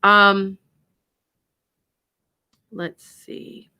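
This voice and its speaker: A woman's voice: mid-range voice, level tone, very slow, sharp tone, clean tone. A woman with a Wisconsin accent hosting a psychic radio show, chatting in a rambling way and laughing often. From Wisconsin, USA. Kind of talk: casual chat and psychic readings.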